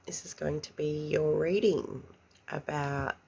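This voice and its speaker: A woman's voice: low-pitched, steady tone, measured pace, gravelly, warm tone, slightly rough. A woman giving a pick-a-card tarot reading, chatty and a little congested, murmuring questions to spirit under her breath. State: congested after an illness. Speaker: tarot reader.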